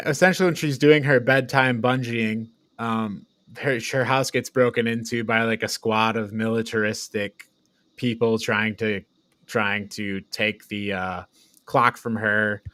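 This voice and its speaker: A man's voice: lowish voice, fairly even intonation, measured pace, fairly bright tone, no room sound. A man co-hosting a podcast, loosely recapping and reviewing in casual, conversational talk. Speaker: podcast co-host. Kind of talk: casual movie review chat.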